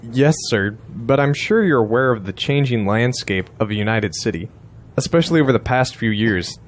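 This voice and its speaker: An actor playing a confident, persuasive campaign strategist, courteous yet blunt, in an audio drama. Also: male voice, mid-range voice, animated, moderate pace, natural voice.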